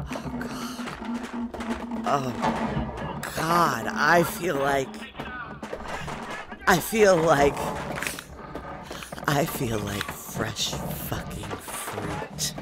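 An actress playing a tough woman soldier fused with alien moss, narrating an audio drama slowly, deliberately and with intense awe. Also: rumbling low, expressive, over loud music, full character voice. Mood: ecstatic awe. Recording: loud sizzling sound effects behind voice.

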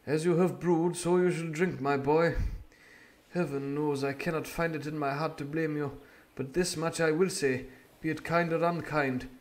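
A man voicing a stern but kindly older ship's doctor in a storybook reading, scolding in an old-fashioned gentlemanly manner. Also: fairly high pitch, fairly even intonation, normal speed, pitched above the performer's own voice, close to an everyday voice. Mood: reproachful.

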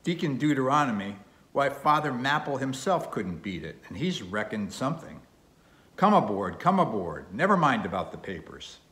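A man voicing a gruff, blustering old whaling captain in an audiobook sea story. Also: medium pitch, sing-song, normal speed, a bit bright, reverberant, pitched above the performer's own voice. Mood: boisterous, impressed.

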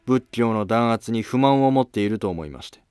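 A man's voice: mid-range voice, lively intonation, a little fast, darkish tone, smooth, dry recording, close to an everyday voice. A man playing an earnest noble conspirator in a historical drama, speaking in solemn, formal, persuasive phrases. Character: earnest male noble plotting against a rival clan. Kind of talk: scripted historical drama dialogue.

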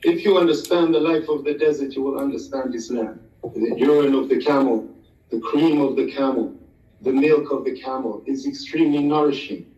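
A man delivers a slow, flowery speech like a sermon in a mellow tone. He sounds far from the mic, in an echoey room, and the audio is dull and lo-fi. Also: medium pitch. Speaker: debate guest. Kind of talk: prepared speech in a debate.

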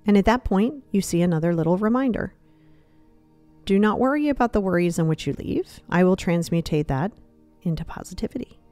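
A woman leading a guided meditation, speaking in a soothing, gently conversational way. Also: medium pitch, animated, fairly quick, no echo, soft background music.

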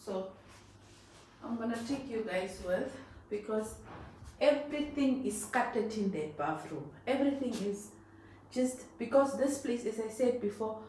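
A Namibian woman chats to her viewers in a loose, rambling way as she works around the house. She sits at a middle pitch and is picked up from across the room by the camera. The sound bounces hard around the bathroom, with a faint hiss underneath. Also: animated, a little slow, a little mellow. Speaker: vlogger. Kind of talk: casual home vlog chat. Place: tiled bathroom at home. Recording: distant, very echoey home room.

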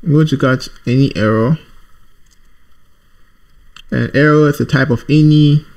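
A man presenting an informal programming screencast, thinking aloud in a halting, repetitive way as he types. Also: mid-range voice, a little mellow, muffled recording. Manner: thinking aloud while typing, halting, repeats himself. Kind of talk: informal screencast coding tutorial.

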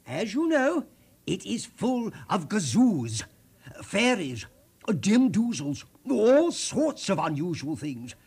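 A man's voice: high-pitched, huge pitch swings, takes it slowly, darkish tone, breathy edge, heavily acted. A man voicing a fat little storybook king, commanding and declamatory, with grand, emphatic delivery.

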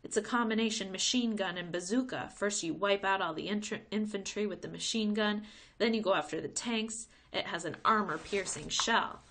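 A brash schoolboy from a children's storybook, acted out by a woman reader. He is blunt and excitable, with a bright, forceful edge and a raised pitch. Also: normal speed. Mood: excited boasting.

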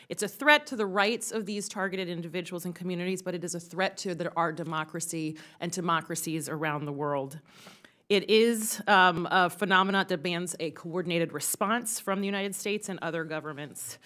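A woman in her forties giving earnest, measured prepared testimony as an expert witness, into a desk microphone in a large congressional hearing room. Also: fairly low pitch, fairly bright tone.

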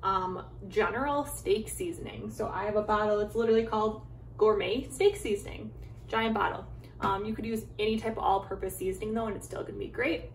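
A woman's voice: mid-range voice, fairly even intonation, a little fast, distant mic. An American woman in her thirties chatting informally as she gives a casual home cooking demonstration. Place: home kitchen, some parts in a backyard garden. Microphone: phone or camera at arm's length. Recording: echoey kitchen, clear background noise.

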